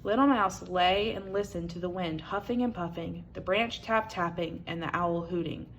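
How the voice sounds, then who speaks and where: A woman reading a children's picture book aloud as an expressive storyteller. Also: fairly low pitch, normal speed, slight background noise, poor audio.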